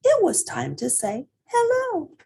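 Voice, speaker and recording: A woman reading a children's picture book aloud as an expressive storyteller with theatrical emphasis. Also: extremely high pitch, slow pace, gravelly.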